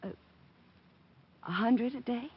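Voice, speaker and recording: An actress playing a feisty, plain-spoken young woman, husky-voiced, in 1940s radio drama. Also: on the high side, slow pace, slight background noise. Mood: anxious, pleading. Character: feisty, plain-spoken young female nightclub photographer, anxious client. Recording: dull old radio recording.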